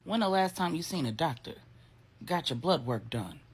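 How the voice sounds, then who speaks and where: Brash, husky street-smart woman, as a storyteller plays her. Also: low voice, animated, normal speed. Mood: blunt, flirty. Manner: brash, slangy, no-nonsense. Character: blunt, bold, street-smart woman sizing up men.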